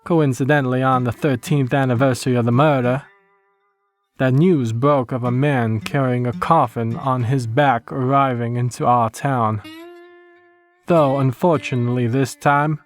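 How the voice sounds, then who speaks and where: A man's voice: medium pitch, steady tone, normal speed, soft background music, close to an everyday voice. A man voicing a haunted Old West townsman with a Louisiana accent, narrating a horror story in a solemn, old-fashioned way. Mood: haunted, ominous. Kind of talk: scripted first-person horror narration.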